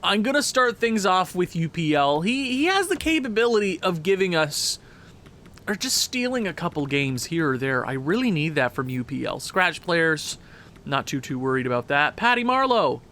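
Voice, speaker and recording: A man with a stuffed-up nose giving chatty, excitable commentary over a hockey video game. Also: a little high. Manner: chatty, excitable, quick asides. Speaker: gaming commentator.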